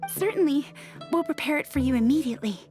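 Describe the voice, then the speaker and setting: An actress playing a polite restaurant server in a video game. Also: female voice, high voice, expressive, fast talker, darkish tone. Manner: polite, service-like. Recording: music playing behind.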